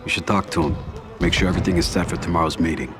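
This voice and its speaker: A man plays a commanding mob don from a crime game. He is calm and in charge, with a deep, low-pitched voice. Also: rapid-fire, music in the background, close to an everyday voice. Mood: calm, confident. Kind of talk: video game cutscene acting.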